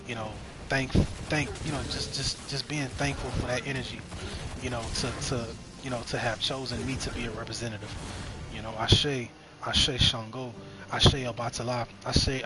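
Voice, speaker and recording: A man in his twenties talking reflectively about spiritual growth while playing a game, rambling and repeating phrases with frequent "you know what I mean". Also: medium pitch. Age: 20s. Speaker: streamer. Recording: noticeable background noise.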